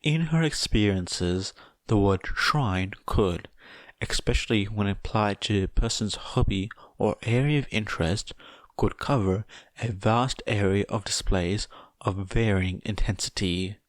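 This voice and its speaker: A man reading a story aloud as scripted narration, in a measured, deliberate way. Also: lowish voice, dry recording. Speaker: audiobook narrator of fan fiction.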